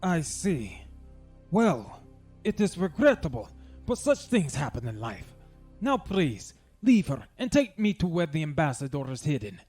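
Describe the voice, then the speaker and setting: Casting: man as suave older villain for audio drama, formal manners, high lively voice, courteous threat. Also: measured pace, breathy edge, faint music behind, full character voice. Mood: smooth menace. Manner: formal, elaborately polite. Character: suave menacing older man with a cat companion.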